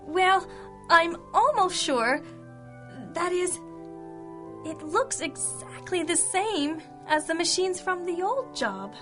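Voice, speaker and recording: A woman playing an earnest, excitable working mother and parishioner, genteel and gushing, in a radio drama. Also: very high-pitched, slow, soft background music, full character voice. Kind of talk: 1930s-style radio drama acting.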